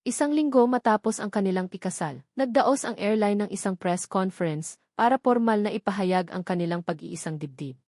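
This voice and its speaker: A woman's voice: mid-range voice, a little fast, a little mellow, dry recording, studio quality. A woman with a Filipino accent reading a scripted dramatic story as its narrator.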